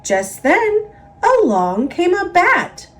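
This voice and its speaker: A woman with an American accent narrating a children's picture book in an expressive, emphatic read-aloud style. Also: extremely high pitch, slow. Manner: expressive, emphatic, repetitive build-ups. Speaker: storybook narrator.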